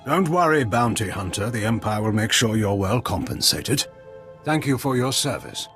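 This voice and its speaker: An actor playing a haughty, commanding imperial admiral in a video game, speaking formally and with authority. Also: male voice, on the low side, very animated, moderate pace, fairly bright tone. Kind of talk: video game cutscene acting. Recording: game music behind the voice.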